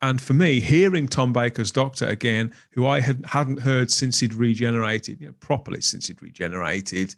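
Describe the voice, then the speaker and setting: A man's voice: medium pitch, moderate pace, gravelly, hardly any pauses. A middle-aged man chatting casually as a podcast guest, enthusiastic and rambling as he reminisces. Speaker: podcast guest, graphic designer. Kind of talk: casual chat.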